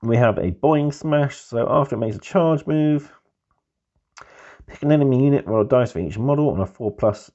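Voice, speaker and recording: A man with a cold, hoarse and husky, giving a relaxed, easygoing review of a hobby magazine as the channel's host. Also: mid-range voice. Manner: relaxed, easygoing, keeps calling things pretty cool. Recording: dull lo-fi recording.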